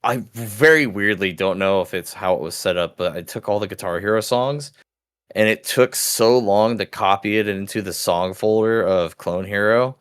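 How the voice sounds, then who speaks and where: A man in his thirties with a bassy voice, co-hosting a casual podcast, rambling and swearing freely with frequent laughs. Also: normal speed, fairly bright tone, smooth, no echo, clean studio sound. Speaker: podcast co-host. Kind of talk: casual chat.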